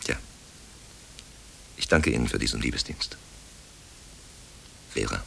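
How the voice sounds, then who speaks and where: An actor playing a courteous, guarded company director in a German radio play, polished and formal in manner. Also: male voice, a bass voice, unhurried, gravelly, pushed and bright, full character voice. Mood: guarded, subdued. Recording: hissy vintage radio drama recording.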